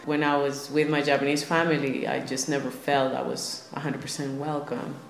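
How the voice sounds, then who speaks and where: A woman's voice: a bass voice, flat delivery, moderate pace. Young woman in an echoey room. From Lima, Peru. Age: young adult. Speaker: podcast guest, traveler. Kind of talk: casual personal storytelling. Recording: roomy echo with faint ambient noise.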